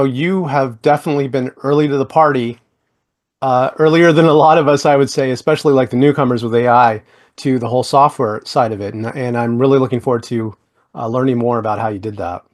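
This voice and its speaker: A man's voice: medium pitch, steady tone, fairly quick. A man hosting a podcast interview, talking in a friendly, chatty way with many fillers like "like" and "you know". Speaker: podcast host. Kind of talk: casual interview chat.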